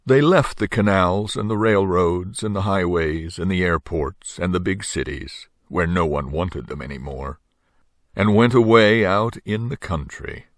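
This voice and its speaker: Deep-voiced older man reading a storybook. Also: unhurried, dry recording, clean studio sound. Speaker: storybook reader. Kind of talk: children's story read-aloud.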